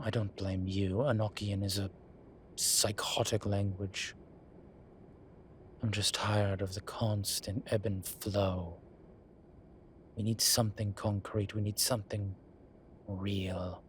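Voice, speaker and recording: A man playing a weary, wry incubus professor in an intimate audio roleplay, speaking in measured, drawn-out phrases. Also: lowish voice, a little hiss or hum. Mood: weary, frustrated. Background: ambient sound effects.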